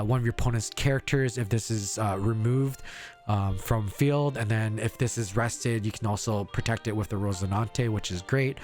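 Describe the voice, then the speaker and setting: A man casually walking through his card game deck, pausing often with fillers as he explains each card. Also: lowish voice. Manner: relaxed, many fillers and uh's. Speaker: card game content creator. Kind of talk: casual deck profile walkthrough.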